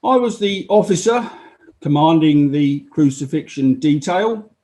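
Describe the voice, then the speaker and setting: A man's voice: on the high side, lively intonation, measured pace, warm tone. A man acting as a matter-of-fact Roman army officer who gives unemotional, explanatory testimony in a dramatized inquiry. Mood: matter-of-fact, official.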